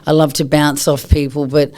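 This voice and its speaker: Woman from Australia, husky smooth voice, lively studio guest. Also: deep voice, moderate pace. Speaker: guest, yoga teacher. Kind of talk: casual podcast interview.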